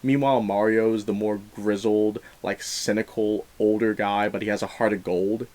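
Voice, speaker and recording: A man's voice: on the low side, steady tone, normal speed, darkish tone. Emphatic man reviewing films. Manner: emphatic, opinionated, explanatory. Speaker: film review show host. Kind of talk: enthusiastic film review commentary.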